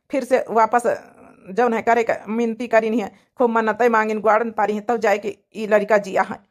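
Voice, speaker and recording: A woman's voice: fairly high pitch, lightly acted. A woman voicing an earnest, emotional village man in a cartoon family drama, insistent and repetitive, with an Awadhi accent. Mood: earnest, pleading.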